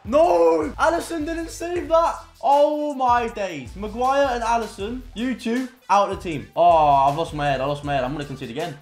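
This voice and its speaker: A young man giving excitable commentary on his own football video game play. He mutters between moves and bursts into loud shouts at goals. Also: very high-pitched, moderate pace, hardly any pauses. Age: young adult. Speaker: gaming content creator. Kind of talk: live gameplay commentary. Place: home gaming room indoors. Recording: roomy echo, faint game music behind.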